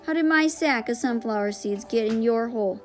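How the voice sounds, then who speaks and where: Woman reading as a mocking male camp guard, raised pitch. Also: brisk, soft background music, natural voice. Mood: stern, sarcastic. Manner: mocking, commanding. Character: gruff adult male camp guard, menacing and sarcastic.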